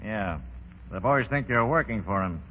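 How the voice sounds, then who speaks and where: An actor playing a gruff, short-tempered crime boss in a 1930s radio drama. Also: male voice, lowish voice, animated, moderate pace, natural voice. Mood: wary. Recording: dull, hissy 1930s radio broadcast.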